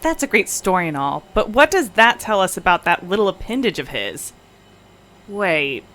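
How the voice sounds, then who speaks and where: A woman's voice: medium pitch, big pitch swings, normal speed, a bit bright. A woman playing a blunt, sardonic, impatient alien crew member in an audio comedy, with dry, cutting delivery. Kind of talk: comedic audio drama acting.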